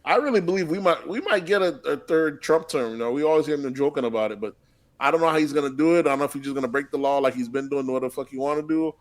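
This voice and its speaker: Podcast co-host, male, loose rambling talker, pitched a bit high. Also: fast talker. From Haitian heritage. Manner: rambling, repeats words, says "you know what I'm saying". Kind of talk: casual podcast debate.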